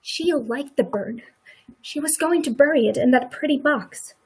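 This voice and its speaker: A woman's voice: high voice, normal speed, natural voice, poor audio. A woman playing a rueful, blunt farmer's wife with folksy rural speech in a live radio play. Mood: somber. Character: farmer's wife Mrs. Hale, regretful, blunt, protective neighbour.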